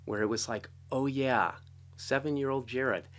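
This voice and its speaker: A man's voice: mid-range voice, normal speed, a bit bright. A middle-aged American man talking casually as an interview guest, telling stories and often quoting himself. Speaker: interview guest, film and audio drama composer. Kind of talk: casual conversational storytelling.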